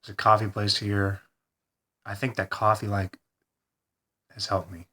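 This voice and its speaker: A congested man talking hesitantly in a rambling personal video diary, full of pauses and ums. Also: fairly low pitch, level tone, pushed and bright. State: congested, stuffed-up nose. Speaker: vlogger.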